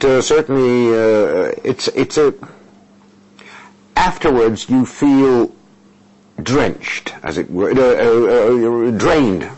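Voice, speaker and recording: An older man whose first language is Russian, an interpreter answering interview questions in a halting, measured way with many fillers and restarts. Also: lowish voice, darkish tone, low-quality recording.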